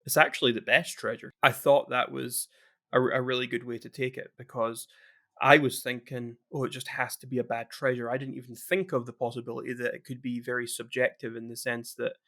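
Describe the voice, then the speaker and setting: A man's voice: mid-range voice, level tone, a little fast, a bit bright. A man co-hosting a podcast in casual chat, rambling and often restarting his sentences. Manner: chatty, rambling, frequent restarts. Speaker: podcast co-host.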